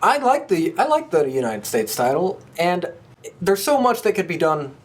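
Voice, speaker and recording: A man speaks in a casual podcast style with animated, varied intonation. He is informal and blunt, giving opinions as a co-host would in a relaxed panel chat. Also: high voice, moderate pace. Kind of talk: casual panel chat about pro wrestling.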